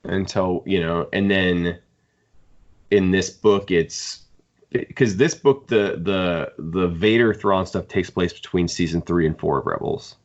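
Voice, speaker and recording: A man's voice: low-pitched, a little slow, highs cut off. A man co-hosting a podcast, talking through fan topics in a rambling, conversational way. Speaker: podcast co-host. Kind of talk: casual fan discussion.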